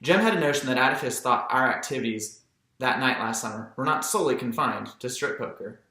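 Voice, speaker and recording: A man's voice: mid-range voice, brisk, bright, forceful tone, echoey room. A man reading a literary audiobook as narrator, in scripted storytelling style. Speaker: audiobook reader.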